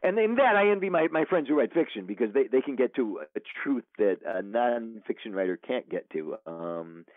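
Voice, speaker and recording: A man's voice: medium pitch, lively intonation, normal speed, hardly any pauses, dry recording. A man, an author guest, chatting casually in an interview, rambling with lots of fillers and restarts. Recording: tinny phone line, dry.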